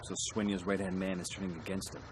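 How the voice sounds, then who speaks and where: An actor playing a businesslike special forces leader in a military game, clipped and commanding, over background noise. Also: male voice, sits low, level tone, quick pace, close to an everyday voice. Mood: wry. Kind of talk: military game cutscene acting.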